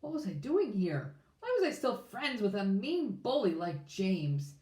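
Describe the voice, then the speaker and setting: A woman reading a children's storybook aloud in an expressive, informal way. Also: mid-range voice, unhurried. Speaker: children's book reader. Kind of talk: read-aloud storybook narration. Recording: echoey room.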